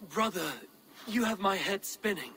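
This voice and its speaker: An actor playing a sceptical, impatient Viking warrior in a video game, speaking in a blunt, challenging way. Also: male voice, high-pitched, unhurried, noisy background. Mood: incredulous. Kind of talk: dramatic video game acting.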